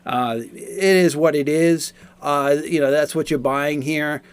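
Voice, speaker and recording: A man in his forties giving a casual, explanatory product review, talking in a halting, repetitive way. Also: fairly high pitch, clean tone, no echo.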